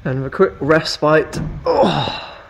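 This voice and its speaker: A man's voice: fairly high pitch, animated, slow pace, gravelly, lo-fi sound, slight background noise. A young man, chatting breathlessly into a phone camera while hiking a rainy hilltop. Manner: breathless, chatty, laughing between remarks. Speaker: vlogger. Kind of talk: casual travel vlog narration. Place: outdoors on a rainy misty hilltop. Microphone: phone camera at arm's length.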